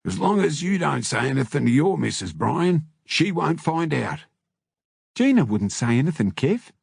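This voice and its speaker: Man doing a blunt, husky biker bloke. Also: a little high, very animated, moderate pace, dry recording, clean studio sound, pitched above the performer's own voice, heavily acted. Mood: matey banter. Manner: blunt, slangy. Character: male biker club member, matey, blunt, rough-talking. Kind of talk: audiobook character dialogue.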